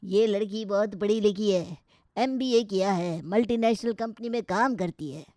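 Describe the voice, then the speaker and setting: A woman voices an Indian pandit matchmaker from a folk-style story. The delivery is measured and approving, like a polite sales pitch, in a mellow, dry sound. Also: dry recording, lightly acted. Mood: persuasive.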